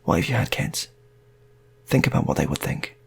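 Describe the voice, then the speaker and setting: A man voicing an earnest, patient police hostage negotiator in an improvised story, coaxing and repeating himself haltingly. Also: deep voice, big pitch swings, vocal fry, a little hiss or hum, exaggerated voice. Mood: gentle pleading.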